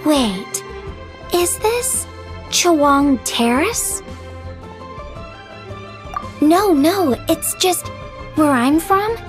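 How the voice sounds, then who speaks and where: A woman voicing a gentle, hesitant young woman in a video game, speaking in a soft manner. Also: high-pitched, big pitch swings, extremely slow, pauses often, heavily acted. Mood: startled, musing. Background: clear game music.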